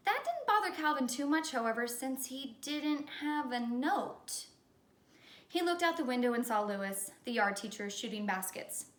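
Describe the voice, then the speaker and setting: A woman reading a children's story aloud in an expressive, teacherly storytelling way. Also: high-pitched, normal speed. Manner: expressive storytelling with character dialogue. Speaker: elementary school teacher. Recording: echoey room.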